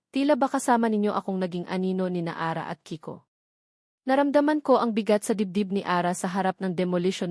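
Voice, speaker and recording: A Filipino woman tells a scripted romantic tale in an earnest, sentimental way. Her pitch sits in the middle range for a woman. The sound is dry and close, with no room around it. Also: a little mellow, no echo. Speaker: storyteller. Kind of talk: scripted dramatic narration of a love story.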